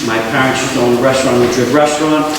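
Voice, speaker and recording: A man's voice: mid-range voice, steady tone, racing. A man in his thirties, a teacher running for school board, giving an earnest, somewhat hesitant speech. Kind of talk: candidate speech. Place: large hall at a debate. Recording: echoey hall from far off, noisy.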